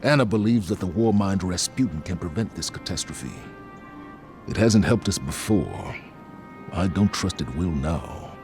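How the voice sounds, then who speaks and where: A man's voice: low-pitched, animated, measured pace, over music. An actor playing a stern, resolute male military commander who speaks in grave, clipped orders in video game cutscenes. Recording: game audio with loud score.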